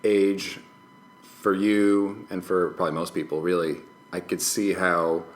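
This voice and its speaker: An American man chatting casually as a podcast guest, halting and searching for his words. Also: deep voice, little pitch movement, reverberant. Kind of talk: casual chat.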